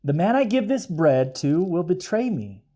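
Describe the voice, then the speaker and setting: A man's voice: sits high, very animated, normal speed, warm tone. A man voicing an earnest, commanding Jesus in a children's storybook, with solemn, emphatic delivery. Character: Jesus, earnest, commanding adult male religious leader. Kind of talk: children's storybook read-aloud.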